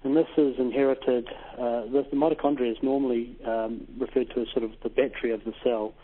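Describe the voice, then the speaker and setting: A man with a New Zealand accent, a professor explaining things carefully and haltingly as an interview guest over the telephone. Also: mid-range voice, steady tone. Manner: hesitant, careful, simplifying science. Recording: tinny phone line.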